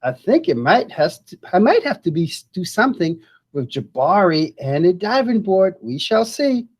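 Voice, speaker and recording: Female storybook reader, slightly low register, very expressive swings, unhurried and deliberate. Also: breathy edge. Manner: expressive, deliberate, pauses to comment to viewers. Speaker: educator reading aloud. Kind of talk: children's storybook read-aloud.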